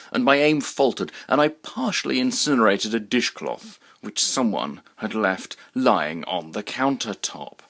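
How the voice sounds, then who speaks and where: A man's voice: fairly low pitch, fairly bright tone, heavily acted. A man playing a pompous, fussy, paranoid inventor who formally and dramatically recounts his mishap in a comic short story. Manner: formal, verbose, deliberately enunciated, dramatic.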